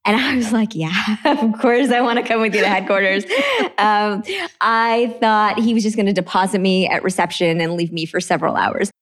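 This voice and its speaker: A woman from Trinidad, an author talking about her books in a relaxed interview, earnest and quick to laugh. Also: mid-range voice. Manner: earnest, laughs easily, repeats words when starting. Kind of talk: conversational interview.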